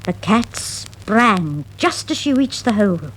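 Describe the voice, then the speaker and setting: A woman narrating a scripted children's fable in a dramatic, expressive storytelling style. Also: mid-range voice. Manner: dramatic, expressive, deliberate phrasing. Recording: noisy 1960s vinyl record.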